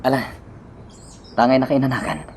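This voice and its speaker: A man's voice: mid-range voice, expressive, normal speed, a little mellow, slight background noise, close to an everyday voice. A man playing a helpful, reassuring young man in a romantic radio drama, with an Ilocano accent.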